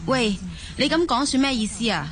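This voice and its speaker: A woman's voice: on the high side, lively intonation, normal speed, close to an everyday voice. A woman acting a defensive, argumentative mother from the mainland in a Cantonese radio drama. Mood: offended. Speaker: voice actor in an audio drama.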